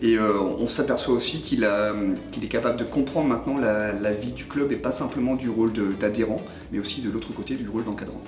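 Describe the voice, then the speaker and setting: A man in his forties, a youth football coach, speaking in a measured interview with frequent hesitations. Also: medium pitch, a little mellow, soft background music. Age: 40s. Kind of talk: documentary interview. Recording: roomy, distant mic.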